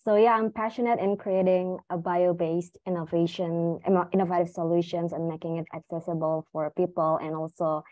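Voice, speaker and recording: A woman's voice: on the low side, steady tone, darkish tone, no room sound, dull audio. A woman, a researcher introducing herself carefully, stumbling a little. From Indonesia. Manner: careful, slightly halting. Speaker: design researcher. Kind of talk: self-introduction in an online class. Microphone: video call.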